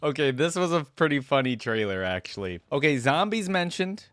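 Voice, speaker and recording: A man reacts casually to a video as its host, informal and curious. His pitch moves around in a lively way, and the sound is close and dry with faint music behind. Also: moderate pace, dry recording.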